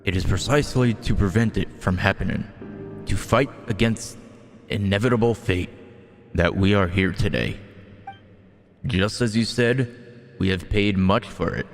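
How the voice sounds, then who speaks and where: A man's voice: lowish voice, a little slow, vocal fry, long pauses, in a large hall. A man voicing a solemn, devoted follower of an emperor in a game, speaking in a grave, formal, ceremonial way. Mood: resolute.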